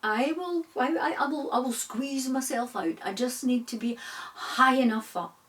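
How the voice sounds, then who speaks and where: A woman voicing a small, greedy male fly with a Scottish accent, hurried and stammering. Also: high-pitched, fairly bright tone, far from the mic, pitched above the performer's own voice. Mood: pleading.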